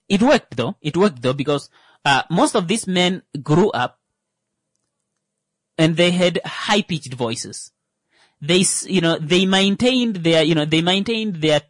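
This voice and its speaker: Joking man with a lively voice. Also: on the high side, no echo. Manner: joking, rambling with many fillers. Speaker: radio talk show host. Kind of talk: comedic monologue.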